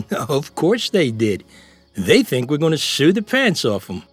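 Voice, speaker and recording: An easygoing, folksy older uncle in a radio drama. His kindly voice is husky, fairly high and lively in pitch, with faint music behind. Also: a little slow, no echo, exaggerated voice. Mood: relaxed, reassuring.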